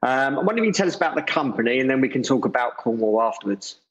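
A man hosting an interview podcast in an informal, somewhat halting, loosely worded way. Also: mid-range voice, animated, highs cut off. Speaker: podcast host.

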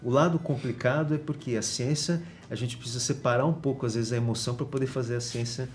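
A Brazilian man, a guest professor, explaining in an interview with frequent pauses and restarts. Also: mid-range voice, steady tone, slight background noise. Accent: Brazilian Portuguese. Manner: explanatory, many fillers and restarts.

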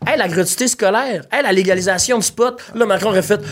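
A young man with a Quebec French accent, chatting passionately about politics, swearing casually and filling pauses with "euh". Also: high-pitched, a crowd in the background.

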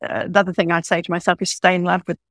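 A woman speaks as an interview guest in a thoughtful, reflective way, pausing now and then to find her words. The sound is dry and close. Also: fairly low pitch, level tone, fairly bright tone, no echo. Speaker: guest author.

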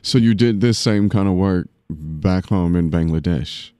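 A man's voice: low voice, measured pace, mellow, dry recording, studio quality. A man hosting a public affairs podcast, interviewing a guest in a casual, affirming way with frequent fillers. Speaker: podcast host.

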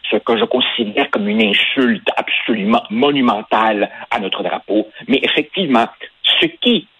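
A man's voice: lowish voice, moderate pace, bright, forceful tone, husky, dry recording, highs cut off. A man whose first language is Spanish, speaking Quebec French as a passionate radio commentator who repeats words while building his points. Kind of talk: opinion talk on radio.

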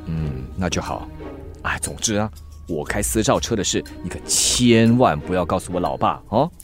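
A man's voice: sits low, animated, moderate pace, soft background music. A man with a Singaporean accent acting in a radio drama as a rich young master, secretive, brusque and bantering. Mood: secretive, casual.

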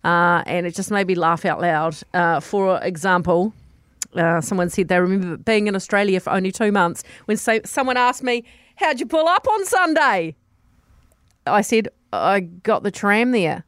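A woman's voice: mid-range voice, lively intonation, moderate pace, clear, resonant tone, dry recording, studio quality. A woman with a New Zealand accent co-hosting a radio show, reading out listener stories with frequent laughter. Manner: laughs often while telling listener stories. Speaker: radio co-host. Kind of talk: casual radio chat.

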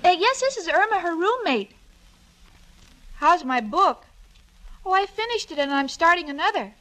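Very high-voiced, sweet dim-witted young woman. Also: very animated, moderate pace, bright, forceful tone, heavily acted. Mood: eager, scatterbrained. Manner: naive, earnest, scatterbrained. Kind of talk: 1940s radio sitcom acting. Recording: noisy 1940s radio recording.

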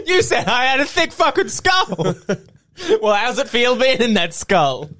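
A man's voice: very high voice, big pitch swings, slow, pushed and bright. A man co-hosting a comedy podcast, teasing his partner with laughter and swearing. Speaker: podcast co-host. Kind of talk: comedic improvised chat.

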